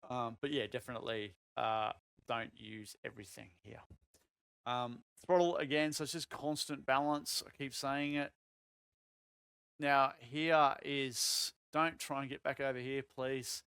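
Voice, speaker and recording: A man, voice going croaky, coaching a sim racing lap in casual talk with lots of fillers. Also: medium pitch, unhurried, dry recording. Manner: relaxed, filler-heavy explaining with many "um"s. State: voice getting croaky.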